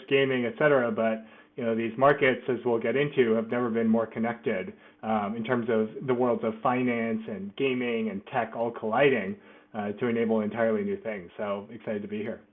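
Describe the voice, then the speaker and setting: A man's voice: mid-range voice, fairly even intonation, fairly quick, phone-quality sound. A middle-aged man speaking as an interview guest, self-deprecating and often restarting his sentences. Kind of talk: casual interview.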